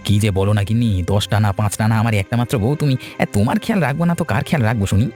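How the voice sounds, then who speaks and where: A man's voice: on the low side, animated, very fast, a little mellow, soft background music, lightly acted. A man playing a coaxing, slyly greedy village husband in a cartoon, speaking in colloquial, explanatory family talk. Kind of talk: cartoon dialogue.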